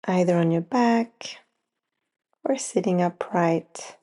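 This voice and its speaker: A woman guiding a yoga nidra relaxation, speaking in short, unhurried phrases with long pauses between them. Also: lowish voice, warm tone. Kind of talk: slow guided relaxation meditation.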